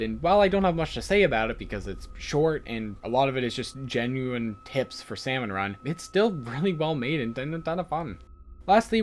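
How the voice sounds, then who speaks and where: A man's voice: mid-range voice, a little mellow. A man hosting a video essay in a casual commentary voice-over, chatty and informal, with an enthusiastic way of talking.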